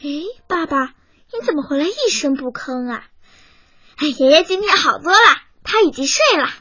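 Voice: high voice, animated, normal speed, sharp tone, no echo, muffled recording. A performer playing a devoted, stubborn and sensitive nine-year-old child in a radio drama, with a plaintive manner. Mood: caring, curious. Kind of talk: Chinese radio drama acting.

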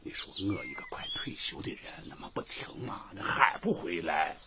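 Flustered old village principal, swooping voice. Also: male voice, on the low side, wildly swooping pitch, moderate pace. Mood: helpless, pleading. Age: around 60. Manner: stammering, sighing, full of exclamations. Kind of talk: radio drama acting. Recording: dull lo-fi recording, faint background noise.

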